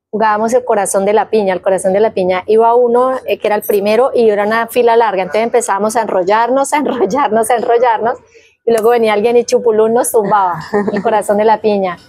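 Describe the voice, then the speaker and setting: A woman's voice: on the high side, a little mellow. A woman in her fifties from Guainía with a Colombian accent, casually recalling her life in an interview, telling it vividly with diminutives and sound words. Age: 50s. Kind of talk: casual personal recollection.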